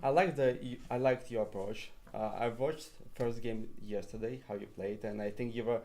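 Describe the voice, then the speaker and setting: A man player giving a nervous, halting timed speech with many pauses and restarts. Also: mid-range voice, steady tone, dark tone. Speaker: tournament player.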